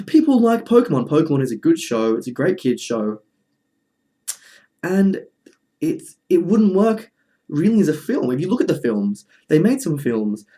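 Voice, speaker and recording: A man's voice: fairly high pitch, mellow. A man presenting casual film commentary in an informal, chatty, slightly wry way. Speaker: presenter of a film review video essay.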